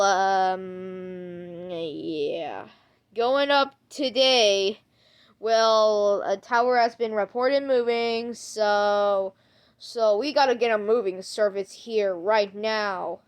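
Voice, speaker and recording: A woman acting out an improvised amateur skit, speaking haltingly and repeating her words. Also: medium pitch, clean tone. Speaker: creator acting out an emergency alert skit.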